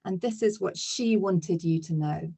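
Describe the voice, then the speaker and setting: A woman's voice: on the low side, unhurried, vocal fry, warm tone, breathy edge. A woman presenting a webinar lesson, explaining steadily with occasional fillers.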